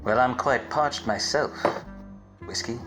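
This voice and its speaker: An actor playing a wise-cracking but obtuse hard-boiled private detective in a parody of 1940s radio drama, with wry, self-important delivery. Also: male voice, mid-range voice, measured pace, fairly bright tone, soft background music, close to an everyday voice. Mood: flirtatious.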